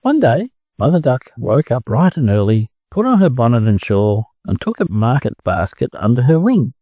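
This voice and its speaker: A man's voice: mid-range voice, big pitch swings, moderate pace, no room sound, phone-quality sound. A man with an Australian accent reading a children's story aloud as a scripted narration for English learners.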